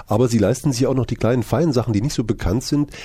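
A man conducting a radio interview, asking quick, informal questions with frequent restarts. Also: mid-range voice, mellow, husky, no room sound.

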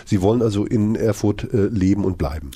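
Male interviewer, fast and husky, dark tone, informal questions with restarts. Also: lowish voice. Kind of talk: radio interview.

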